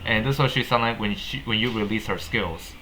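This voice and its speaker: Male game vlogger, deep voice with a bright edge, stop-start casual commentary, noticeable noise behind. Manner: halting, repeats and rephrases words. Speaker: gaming video host.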